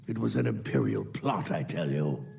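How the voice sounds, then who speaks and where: An actor playing a bitter, aggrieved old deposed Nord jarl in a video game, with a gravelly voice and an emphatic delivery. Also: male voice, rumbling low, big pitch swings, normal speed, darkish tone, heavily acted. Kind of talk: video game character dialogue.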